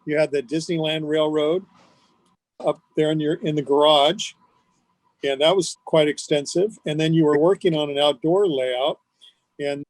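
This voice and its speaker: Higher-voiced man reminiscing, dry sound. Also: fairly even intonation, normal speed, dry recording, low-quality recording. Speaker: radio personality, interview guest. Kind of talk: casual conversational reminiscence.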